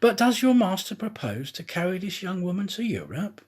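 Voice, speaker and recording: Anxious, calculating detective voiced by a man reader, theatrical and exclamatory, raised swinging pitch, husky edge. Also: big pitch swings, normal speed, heavily acted. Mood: feigned surprise. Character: scheming, anxious detective feigning innocent surprise. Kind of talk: acted audiobook dialogue.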